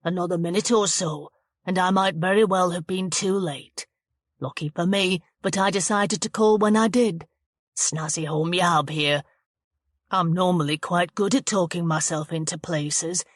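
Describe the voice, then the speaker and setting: A woman's voice: fairly even intonation, no echo, clean studio sound, close to an everyday voice. A woman voicing a sneering, menacing man. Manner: low, slow and sneering.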